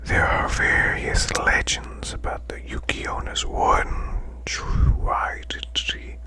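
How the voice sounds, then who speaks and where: A man voicing a demon boss in a breathy, growling whisper, reading folklore slowly as soothing bedtime ASMR. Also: natural voice. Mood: hushed, soothing. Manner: slow, hushed, deliberate recitation. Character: male demon butler's boss, growling softly, soothing storyteller.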